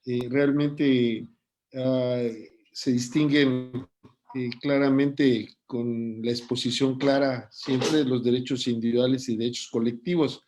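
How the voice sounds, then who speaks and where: A man who hosts and moderates an online lecture, speaking in a measured, deliberate way. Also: medium pitch, fairly even intonation, a little mellow, slight background noise. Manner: measured, deliberate, pauses with fillers. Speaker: host and moderator.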